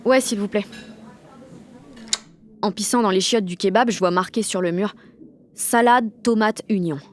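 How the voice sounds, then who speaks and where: A woman's voice: mid-range voice, unhurried, slight background noise, lightly acted. An actress with a French accent playing a cocky sixteen-year-old girl who narrates her day in slangy, sweary audio fiction. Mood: brash, excited. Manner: slangy, sweary, punchy inner monologue. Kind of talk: first-person audio fiction monologue.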